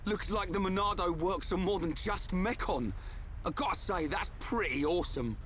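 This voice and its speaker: A man's voice: sits high, normal speed, slight background noise. An actor playing a bold, eager young male adventurer in a video game cutscene. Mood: upbeat. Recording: loud music behind the voice. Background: game soundtrack music.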